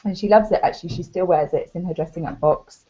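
Low, mellow woman on a video call. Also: quick pace, breathy edge. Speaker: craft blogger. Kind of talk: casual craft show-and-tell. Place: plain room at home. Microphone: webcam video call. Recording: dull lo-fi video call audio.

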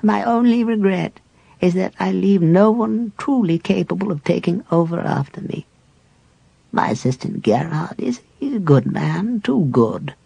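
Old dying cleric played by a man, lifted and slow, gentle and breathy, dark tone, for a fantasy audiobook. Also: high-pitched, expressive, no room sound, exaggerated voice. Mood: reflective. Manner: slow, lilting and tender, with soft whispery breaks.

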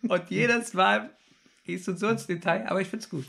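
A man's voice: sits high, normal speed, gravelly, sharp tone. A man co-hosting a podcast in casual chat, keeping things brief and teasing his partner. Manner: brief, teasing, laughs often. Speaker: podcast co-host.

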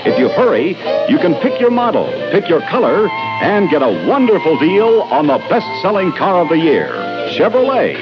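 An American man pitching in the style of a 1960 radio commercial announcer. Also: very animated, normal speed, lo-fi sound, over music.

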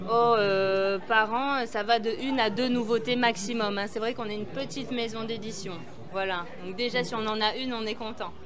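A woman's voice: fairly high pitch, steady tone, normal speed, few pauses, crowd noise. A middle-aged woman, a publisher, answering interview questions casually at her stand. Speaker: publisher at a book fair stand. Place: small book fair booth. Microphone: interviewer's handheld mic.

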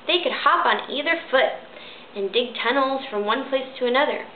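A woman in her thirties reading a children's picture book aloud at home, lively and expressive. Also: normal speed, slight background noise. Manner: lively, expressive read-aloud with high character voices. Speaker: storybook reader. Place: bedroom at home, indoors. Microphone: camera in front. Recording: echoey, phone-like thin sound.

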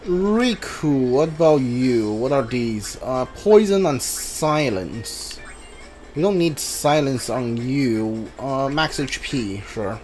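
Halting, slow-paced gamer thinking aloud. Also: mid-range voice, animated, darkish tone. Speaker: video game player and commentator. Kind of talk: casual live playthrough commentary. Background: video game music.

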